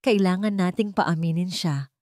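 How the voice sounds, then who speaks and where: A woman's voice: on the low side, normal speed, a little mellow, dry recording, studio quality, natural voice. A woman playing a remorseful, resolute young woman in a romantic drama story.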